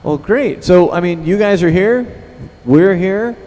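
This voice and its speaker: A man's voice: high voice, measured pace, dark tone. A man moderating a panel, casually addressing the audience. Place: convention panel room with PA microphone. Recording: echoey hall, background noise.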